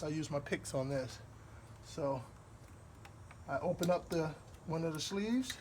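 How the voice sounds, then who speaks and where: A man from Wisconsin with a Midwestern accent, explaining a car repair step by step in a relaxed, rambling way while he works. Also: on the high side, a little hiss or hum. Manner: relaxed, rambling, with fillers and pauses while working. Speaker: DIY car repair host. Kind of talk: hands-on repair walkthrough.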